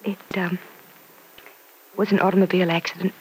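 A woman playing a gentle, dignified aunt in an old radio soap, with a measured, polite and restrained delivery. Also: on the low side, level tone, close to an everyday voice. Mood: guarded. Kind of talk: old-time radio soap opera acting. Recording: noisy vintage radio recording.

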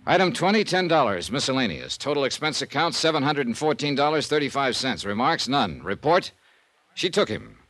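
An American actor playing a terse, world-weary but kindly insurance investigator in a 1950s radio detective drama. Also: male voice, mid-range voice, very animated, a little fast, a bit bright, faint music behind. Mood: brisk, clipped.

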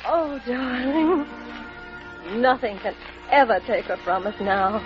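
A heartfelt young wife in old radio drama, highish voice, music behind her. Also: lively intonation, takes it slowly. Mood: breathless relief. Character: devoted, emotional wife and young mother. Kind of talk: vintage radio drama acting. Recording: muffled old radio recording.

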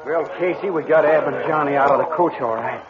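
An actor playing a young outlaw sidekick with plain frontier talk in a western radio drama. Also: male voice, on the high side, fairly quick, loud music behind the voice, natural voice. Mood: subdued. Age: young adult. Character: young male outlaw, the gang's kid sidekick. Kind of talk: 1950s radio western acting. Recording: muffled, noisy old radio broadcast.

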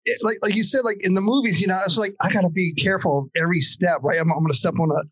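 Guy hosting a podcast, a bit high-voiced, dry close sound, says "like" a lot. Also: steady tone, rapid-fire, a bit bright, slightly rough, no echo. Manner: loose, filler-heavy, lots of "like" and "you know". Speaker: podcast host. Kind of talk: casual interview chat.

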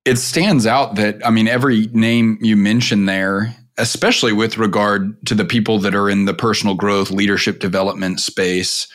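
A man's voice: on the low side, flat delivery, normal speed, few pauses, a bit bright. A man hosting an interview, thinking aloud at some length before he asks his question. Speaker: interview host.

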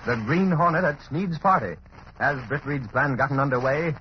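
A man's voice: a little high, normal speed. A man announcing and narrating a radio serial in dramatic, scripted 1930s style. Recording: muffled, hissy old radio broadcast.

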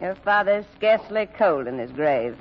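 A bitter widowed mother in a vintage radio drama. She is caustic and bluntly sarcastic, with big swoops of pitch, on a dull, muffled old recording. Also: expressive, moderate pace. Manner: dry, caustic, declamatory. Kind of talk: old-time radio acting.

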